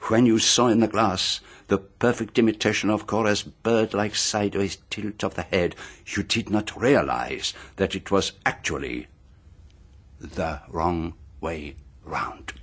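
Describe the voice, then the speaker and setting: An actor playing a shrewd, composed detective in a radio drama, deliberate and precise, with dramatic pauses. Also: male voice, low voice, slightly rough. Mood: grave, methodical. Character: shrewd, composed male detective laying out a murder case.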